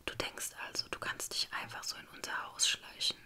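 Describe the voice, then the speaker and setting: Spiteful old grandmother played by a woman reader, menacing, whispered, sharp-edged storybook villain. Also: moderate pace. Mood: gloating menace. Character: cruel, cackling old grandmother, full of spite.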